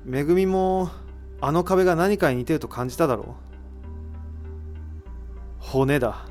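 Guy acting as a brainy man explaining a mystery in an audio drama, highish voice, music behind him. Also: moderate pace, a little mellow, natural voice. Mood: grave, revealing. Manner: explanatory, rhetorical questioning. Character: analytical man reasoning out a mystery aloud. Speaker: voice actor in an amateur audio drama. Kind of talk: dramatic dialogue, explaining deductions.

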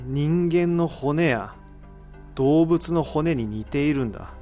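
A man's voice: a little high, expressive, takes it slowly, dark tone, soft background music. A man acting in an audio drama as an analytical figure who reasons out a mystery in explanatory speech. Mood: grave, revealing. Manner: explanatory, rhetorical questioning. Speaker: voice actor in an amateur audio drama. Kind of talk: dramatic dialogue, explaining deductions.

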